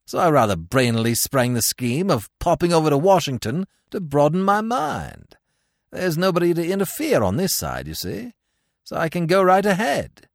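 A man's voice: fairly high pitch, sing-song, normal speed, vocal fry, no echo, studio quality. A man voicing a carefree, foppish young upper-class man who giggles and chatters in breezy slang. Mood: chirpy.

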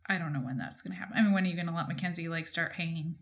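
A woman's voice: on the low side, rapid-fire, gravelly. An American woman in her thirties speaking as a podcast co-host in casual conversation, informal and peppering her sentences with "like". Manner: informal, frequent "like" as filler. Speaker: podcast co-host and market gardener.

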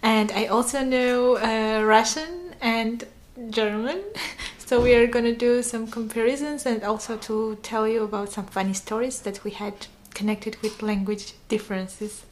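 Guest: young woman, higher voice, giggly, lots of "uh" and "um". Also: fairly even intonation, a little slow. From Armenia. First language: Armenian. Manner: laughs often, many fillers. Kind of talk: casual podcast chat.

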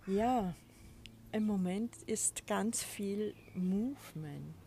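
Slow, soft-spoken woman outdoors. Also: medium pitch, a little hiss or hum. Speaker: podcast host. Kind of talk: spiritual reflection podcast. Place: outdoors on a balcony. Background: birds and a crow.

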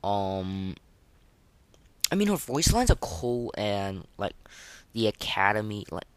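A man hosting a podcast in casual, slangy, excitable chat, reviewing game voice lines. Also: on the low side, slow, clean tone, no echo. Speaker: podcast host.

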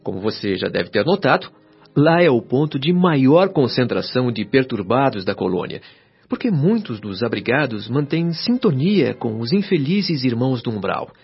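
An actor playing a kindly young spirit helper in a radio drama, explaining things in formal language. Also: male voice, medium pitch, very animated, normal speed, vocal fry, slightly rough, faint music behind, heavily acted. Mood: instructive, gentle. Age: young adult. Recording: dull lo-fi radio drama recording.

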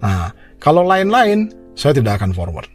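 A man's voice: medium pitch, huge pitch swings, moderate pace. Indonesian man preaching over prominent music. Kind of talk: informal sermon, direct advice to listeners.

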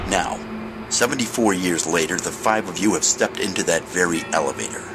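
An actor playing a solemn, theatrical narrator of an eerie mystery anthology, speaking in measured phrases. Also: male voice, sits low, close to an everyday voice, low-quality recording. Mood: ominous. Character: ominous male narrator host of an eerie anthology. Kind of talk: 1950s-style mystery show narration. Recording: loud music under the voice.

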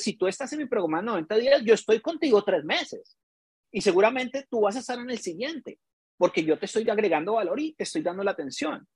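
Man, Colombian accent, business coach, husky, dry close sound, emphatic storyteller. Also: high-pitched, no echo. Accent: Colombian Spanish. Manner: storytelling, acts out dialogue, emphatic. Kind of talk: casual podcast chat.

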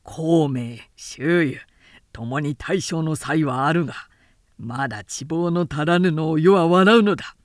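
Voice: mid-range voice, unhurried, dry recording, clean studio sound, close to an everyday voice. A woman voicing a proud, cunning male warlord in an audiobook, with declamatory, archaic, commanding speech. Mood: mocking. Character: Cao Cao, proud cunning warlord, man, mocking.

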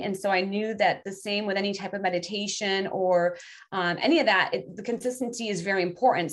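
A woman whose first language is French, speaking English as a podcast guest and talking in an earnest, rambling way with many restarts. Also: medium pitch. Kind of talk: casual interview chat.

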